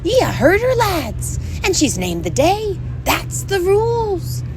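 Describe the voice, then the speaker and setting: A reader playing a small, scarred, bearded male pixie chieftain, excitable and quavering, in a storybook reading. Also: extremely high pitch, takes it slowly, noisy background, voice pushed up, full character voice. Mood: loud, desperate. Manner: exclaiming, quavering, dialect-speaking.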